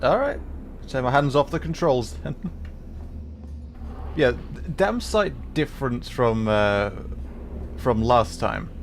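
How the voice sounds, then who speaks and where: A man giving casual, halting live commentary as he streams a video game, musing with playful asides. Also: medium pitch, lively intonation, vocal fry, faint music behind.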